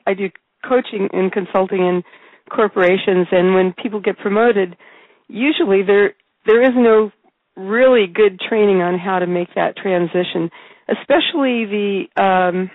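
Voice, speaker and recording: A woman hosting an interview, hoarse with a cough and a raspy voice, talking in a loose conversational way. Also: medium pitch, normal speed, no room sound. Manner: conversational with fillers and restarts. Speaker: host and executive coach. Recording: dry tinny phone line.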